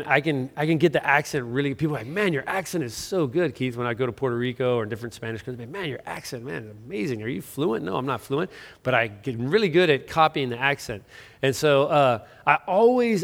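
A middle-aged man preaching a sermon as pastor, conversational and self-deprecating, with frequent restarts and fillers. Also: medium pitch. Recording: long reverb of a large hall.

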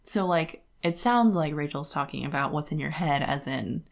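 Woman, lower-pitched voice, podcast co-host, casual, opinionated, conversational, lots of "like". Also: animated, normal speed, gravelly. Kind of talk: casual book discussion.

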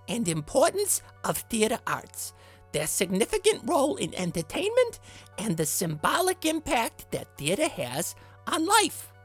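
A man's voice: very high voice, slightly rough, exaggerated voice. A man playing an earnest, theatrical middle-aged dad presenting a podcast segment in a dramatic, effusive way.

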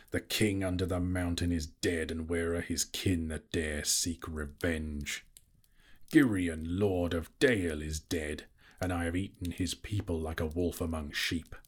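A man voicing a huge old boastful dragon in a storybook, proud and menacing, with slow, gloating, grandiose delivery. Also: deep voice. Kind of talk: audiobook character voice.

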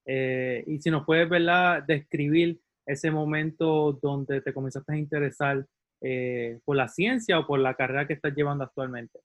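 A man's voice: fairly high pitch, fairly even intonation, moderate pace, fairly bright tone. Eager male co-host, distant mic. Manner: eager, leaning on "eh" and "¿verdad?". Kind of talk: podcast interview.

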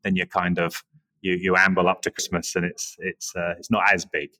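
An Englishman in his forties from the Norwich area, chatting as an interview guest in a relaxed, rambling way with many restarts. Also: low-pitched, moderate pace. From England, Norwich area. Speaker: guest, creative director. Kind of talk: casual interview chat.

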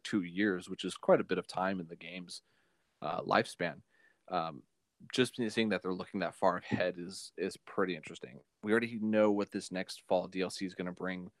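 A man's voice: low voice, fairly even intonation, moderate pace, no echo. A man chatting casually as a podcast co-host, explaining things in a hesitant, self-correcting way. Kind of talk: casual chat.

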